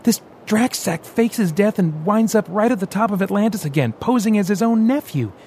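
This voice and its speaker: A man voicing an excitable young male resistance fighter who raises his voice when angry. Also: high-pitched, brisk, gravelly, a little hiss or hum, pitched above the performer's own voice, full character voice. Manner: agitated, raised voice.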